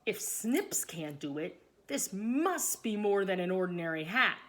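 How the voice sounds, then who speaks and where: A woman's voice: medium pitch, sing-song, unhurried. A woman voicing a commanding, blustering king in a children's storybook, with emphatic, projected delivery. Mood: puzzled. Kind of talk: children's storybook read aloud.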